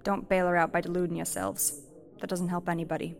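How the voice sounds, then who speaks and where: A woman's voice: lowish voice, little pitch movement, a little fast, gravelly. An actress playing a brash, hard-bitten thief queen in a fantasy audio drama, blunt, profane and commanding, with long reverb. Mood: stern.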